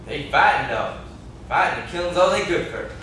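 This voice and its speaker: Casting: naive, touchy rustic dog breeder played by a young man, highish bright voice, amateur stage play. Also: lively intonation, moderate pace. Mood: placating. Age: young adult. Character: simple, touchy, pleading backwoods male dog breeder. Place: classroom, indoors. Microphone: far camera. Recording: echoey classroom, distant camera mic, noisy.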